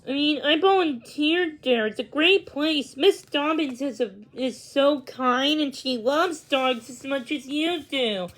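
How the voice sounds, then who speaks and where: A woman voicing an earnest, soft-hearted young girl in a children's storybook, speaking gently. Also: high voice, slow, hardly any pauses, low-quality recording.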